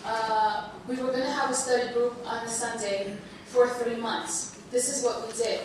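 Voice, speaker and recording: A woman whose first language is Spanish, a bit under the weather, telling her story to an audience in an earnest, halting way with many restarts. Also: on the high side, steady tone. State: under the weather, slight cold. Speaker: panel speaker. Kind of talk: personal talk to an audience. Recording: echoey hall, far from mic, noisy.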